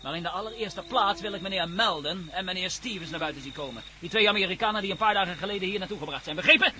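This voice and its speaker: An actor playing a cocky, menacing gang leader in a radio drama, barking orders with a sardonic edge. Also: male voice, high voice, fast, pushed and bright, a little hiss or hum. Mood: sardonic, threatening. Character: cocky commanding male armed gang leader, menacing, mocking.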